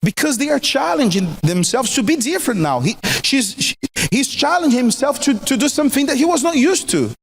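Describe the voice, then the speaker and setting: A man from Brazil with a strong Brazilian accent, a native Portuguese speaker, giving marriage advice. He is emphatic, repeats his points and keeps asking the listener questions. His voice is high and swings widely, and it rings in a reverberant room. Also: very animated, brisk, slightly rough. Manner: emphatic, repetitive, rhetorical questions. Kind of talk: recorded motivational talk.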